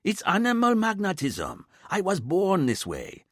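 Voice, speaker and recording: A man voicing a cocky young officer, easygoing and boastful, sliding from low and lazy to high and showy. The sound is dry and close. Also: wildly swooping pitch, measured pace, fairly bright tone, no room sound, full character voice. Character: laid-back, cocky young male police officer.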